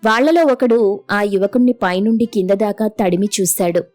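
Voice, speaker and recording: A woman narrates a scripted moral story as the storyteller. Her voice sits at a medium pitch, with faint music playing behind it. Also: moderate pace, darkish tone.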